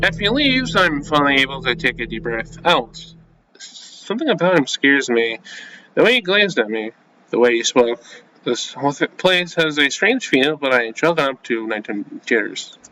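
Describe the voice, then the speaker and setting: A man's voice: mid-range voice, big pitch swings, normal speed, pushed and bright, a little hiss or hum, low-quality recording. A young man playing a game on video, reading its text aloud and reacting in casual, jumpy slang as he talks to himself. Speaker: gaming video creator.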